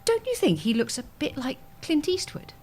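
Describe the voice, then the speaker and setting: A woman's voice: sits high, big pitch swings, normal speed, darkish tone, slight background noise. An actress playing a scatterbrained, gushing woman customer in a scripted audio comedy, cooing as if to a baby. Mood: besotted.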